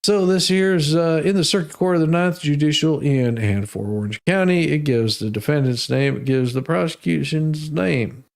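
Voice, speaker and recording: An American man with a slightly higher than average voice reads out formal documents for comic effect. He is folksy and sarcastic, slipping casual asides between the official wording. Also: moderate pace, vocal fry, hardly any pauses. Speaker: host reading out legal documents. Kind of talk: humorous dramatic reading with casual asides.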